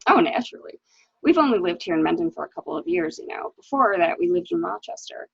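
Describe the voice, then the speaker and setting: A dull, lo-fi recording of a woman acting a genteel wife in a murder mystery reading. Her voice is husky and slightly gravelly, with theatrical phrasing that carries resignation and bitterness. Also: fairly low pitch, sing-song, quick pace, no room sound, heavily acted. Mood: resigned, bitter. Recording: dull, lo-fi audio.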